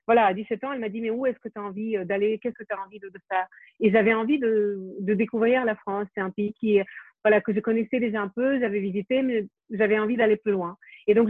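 A woman in her forties from Ukraine speaks over a thin, phone-like line with a dry, close sound. She talks thoughtfully as an interview guest. She often pauses on fillers and restarts her sentences. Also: fairly high pitch, breathy edge, no echo. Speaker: film director, interview guest. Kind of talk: casual interview over a video call. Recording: tinny phone-like call audio, dry.

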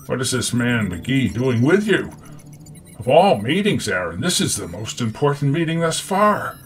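A man's voice: mid-range voice, very animated, unhurried, soft background music. A man voicing a commanding, suspicious rebel leader in an audiobook, with faint hum behind him. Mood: stern.

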